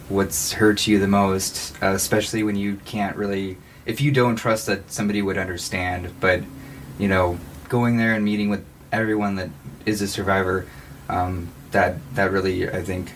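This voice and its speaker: Male co-host. Low, monotone voice, hesitant casual phrasing, slight noise in the background. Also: poor audio. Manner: halting, searching for words. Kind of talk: casual conversational talk.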